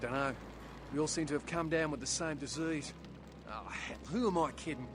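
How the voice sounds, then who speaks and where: A man's voice: on the high side, moderate pace, background noise, natural voice. A man playing a young surfer turned outcast vampire in a video game, wistful and bitter, with a folksy, plain-spoken manner. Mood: weary, bitter. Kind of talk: video game dialogue acting.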